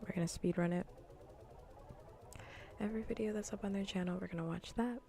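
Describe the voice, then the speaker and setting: Female ASMR game streamer: soft, mellow, gravelly fry, whisper-prone, relaxed rambling delivery on a close mic. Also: medium pitch, a little fast, slight background noise. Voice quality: soft, breathy, often drifting into whispers. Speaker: livestreamer hosting a cozy gaming stream. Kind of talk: casual ASMR gaming stream chat. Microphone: close ASMR microphone.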